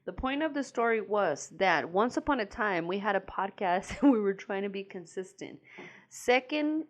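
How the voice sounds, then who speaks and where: A woman's voice: mid-range voice, lively intonation, moderate pace. Laughing, swearing young woman co-host. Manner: laughs often, swears casually, teasing. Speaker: podcast co-host. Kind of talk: casual chat. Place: small shop, indoors.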